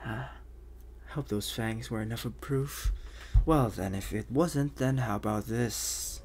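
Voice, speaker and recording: A man voicing a suave, menacing, flirtatious vampire bounty hunter in an ASMR roleplay, teasing in breathy half-whispers with lingering pauses. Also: mid-range voice, a little mellow, slight background noise, close to an everyday voice. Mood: teasing, sly. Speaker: voice actor.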